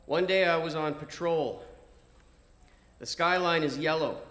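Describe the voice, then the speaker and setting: A man reading a first-person story as narrator in a measured, theatrical, declamatory manner. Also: fairly high pitch, fairly bright tone. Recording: music behind the voice. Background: music.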